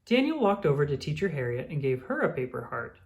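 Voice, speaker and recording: Very animated children's storybook narrator. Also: medium pitch, a little fast. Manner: clear storytelling for young children. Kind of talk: expressive scripted read-aloud narration.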